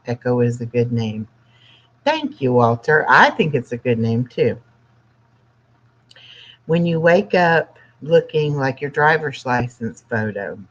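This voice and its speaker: Slow-talking sixty-year-old livestream host, dull audio. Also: mid-range voice, a bit bright. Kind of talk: casual livestream chat, reading humorous lines aloud.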